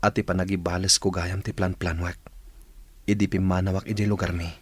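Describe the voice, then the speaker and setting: A man's voice: low-pitched, little pitch movement, brisk, slightly rough. A man playing a brooding, homesick young man in a radio drama. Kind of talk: radio drama acting in Ilocano.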